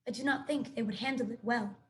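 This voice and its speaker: A woman doing a calm, caring robot. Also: on the high side, a little hiss or hum, lightly acted. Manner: measured, plain, no contractions.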